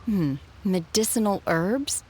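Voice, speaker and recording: A woman voicing an aloof, solemn young woman in a video game, speaking in a calm, formal, deadpan way. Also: medium pitch, slow pace, a little hiss or hum, natural voice. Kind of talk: video game character acting.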